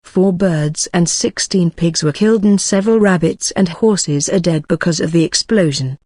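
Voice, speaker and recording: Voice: medium pitch, a little mellow, dry recording. A news announcer reading scripted emergency bulletins as a narration.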